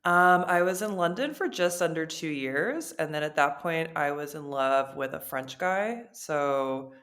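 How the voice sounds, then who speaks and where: A woman's voice: sits low, animated, unhurried, fairly bright tone. A woman from Canada, a podcast guest, tells her story in an upbeat, chatty way. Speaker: interview guest. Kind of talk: casual storytelling.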